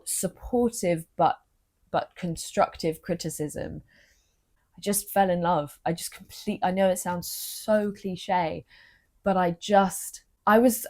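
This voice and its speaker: A young woman, vlogging earnestly into her phone with a low-energy voice, confiding and emotional. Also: darkish tone. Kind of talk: personal vlog talking to camera.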